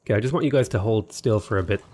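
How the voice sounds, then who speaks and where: A man's voice: fairly low pitch, rapid-fire, darkish tone. A man casually commenting while playing a strategy game, thinking aloud and sounding a little sniffly and under the weather. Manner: thinking aloud, rambling, talks to game units. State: sniffly, slightly unwell. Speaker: gaming YouTuber. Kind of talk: casual let's play commentary.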